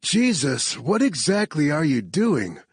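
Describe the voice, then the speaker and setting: A man's voice: fairly high pitch, very animated, slow, breathy edge, dry recording, clean studio sound, pitched above the performer's own voice, exaggerated voice. A man doing a frustrated young tech guy. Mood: frustrated, urgent.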